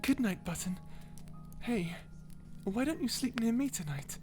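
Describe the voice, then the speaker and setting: A woman voicing a kind, dutiful guardian angel in a character audio roleplay, gentle, formal and measured. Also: on the high side, very animated, faint music behind. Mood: tender, reassuring.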